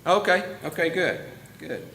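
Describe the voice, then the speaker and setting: A man's voice: fairly high pitch, sing-song, unhurried, vocal fry, bright, forceful tone, a little hiss or hum, rough recording. A man giving casual church announcements in a folksy, chatty way, laughing easily and restarting his sentences. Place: church meeting room.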